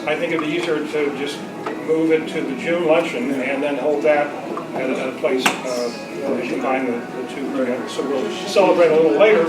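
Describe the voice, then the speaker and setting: Distant, echoey man addressing a noisy club. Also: fairly high pitch. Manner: hesitant, halting. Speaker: club president running a meeting. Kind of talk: informal announcements to a club meeting. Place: luncheon gathering in a room. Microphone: far from the speaker. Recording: distant echoey room, loud crowd chatter.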